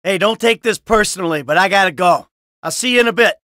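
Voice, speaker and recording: A man's voice: high voice, fast talker, sharp tone, no echo, clean studio sound. A man voicing a cynical, brash middle-aged American criminal in a video game, sarcastic and foul-mouthed. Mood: flirtatious, smug. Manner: sardonic, brash, swearing.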